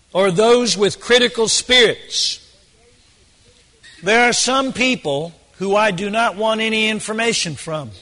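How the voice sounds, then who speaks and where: A hoarse man preaches with a high-pitched voice that rings with long reverb, as in a large hall. He presses his points hard and repeats phrases to drive them home. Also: sharp tone. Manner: emphatic, repeats phrases for stress. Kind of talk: sermon.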